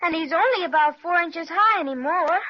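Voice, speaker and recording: A woman's voice: extremely high pitch, lively intonation, brisk. An earnest little girl. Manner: earnest, prim. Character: earnest, trusting young girl. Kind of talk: 1930s radio serial acting. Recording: muffled old radio recording.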